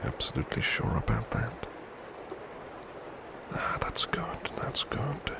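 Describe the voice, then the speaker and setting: A man playing a big, burly, devoted butler in a soft ASMR roleplay, murmuring in a breathy, polite, tender and protective way. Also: medium pitch, very animated, slow pace, full character voice. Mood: drowsy, concerned. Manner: polite, formal, tender, reassuring.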